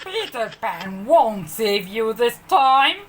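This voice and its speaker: Storybook villain: menacing male pirate captain played by a woman, sneering and snarling, slow, dramatic swings in pitch. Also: sing-song, fairly bright tone, slight background noise, exaggerated voice. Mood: gloating. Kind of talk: children's storybook character voice.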